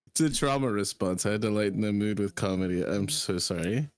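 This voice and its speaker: A man's voice: lowish voice, fairly quick. A man chatting casually and jokingly as a companion on a livestream. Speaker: co-reader on a livestream.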